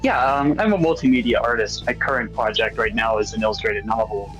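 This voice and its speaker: A man's voice: lowish voice, brisk, a bit bright, highs cut off, faint music behind. A man being interviewed as a new voice actor and artist, chatting in an easygoing, slightly hesitant way. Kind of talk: casual chat, recounting how they got involved.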